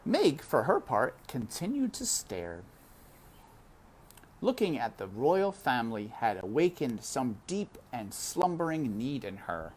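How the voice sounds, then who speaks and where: A woman reading a children's book aloud with expressive, animated narration and casual asides to her listeners. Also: rumbling low, a little slow. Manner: animated, addresses listeners as friends. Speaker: read-aloud storyteller. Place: outdoors in wind. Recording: windy outdoor ambience noise.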